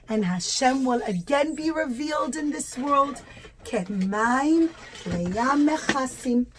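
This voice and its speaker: A woman telling a religious lesson to young children as a storyteller, in simple, expressive language with stretched-out key words. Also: sits high.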